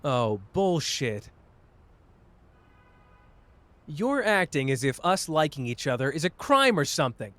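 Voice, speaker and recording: A man playing a cocky but wounded young sorcerer in an intimate roleplay audio drama, with halting, emotional delivery. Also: a little high, sing-song, a bit bright. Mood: angry, defiant.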